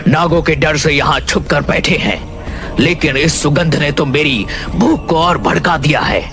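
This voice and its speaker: A man's voice: fairly high pitch, unhurried. A man voicing a hungry, menacing man-eating forest demon in a cartoon story. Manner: menacing, scheming. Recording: music behind the voice.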